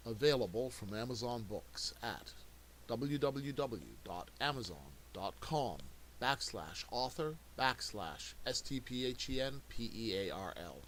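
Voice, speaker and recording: Guy reading a scripted plug, medium pitch, faint hiss behind. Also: expressive, a little slow, a bit bright, rough recording. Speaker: sponsor announcer. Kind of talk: scripted promotional announcement.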